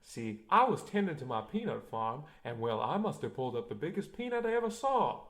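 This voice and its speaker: A man's voice: medium pitch, big pitch swings, a little fast. A man playing an earnest, folksy, polite peanut farmer with political ambitions in an old-time radio comedy.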